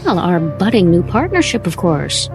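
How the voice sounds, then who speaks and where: An actress playing a silky, scheming female crime boss in an audio drama, coy and smug with measured delivery. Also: lowish voice, big pitch swings, over music. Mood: smooth, persuasive.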